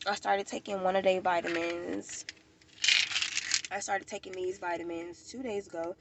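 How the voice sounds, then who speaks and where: An American woman with a fairly low voice that often drops into creaky fry. She sounds stuffed up in the nose and talks informally to camera as a vlogger, rambling along and circling back over her own words. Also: fairly even intonation, moderate pace, heavy background noise. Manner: informal, rambling, repeats herself. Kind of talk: casual chat to camera. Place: outdoors at a park.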